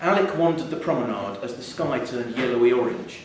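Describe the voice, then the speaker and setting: A man's voice: mid-range voice, lively intonation, a little fast. Echoey man reading a story. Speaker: short story reader. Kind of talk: live scripted story reading, narration. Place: theatre, live reading. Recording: echoey theatre hall, distant mic, faint noise.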